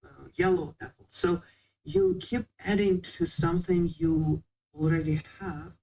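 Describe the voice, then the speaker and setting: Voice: mid-range voice, steady tone, measured pace, a little mellow, rough recording. A guest patiently explaining how to learn a language in a casual interview, with small non-native grammar slips.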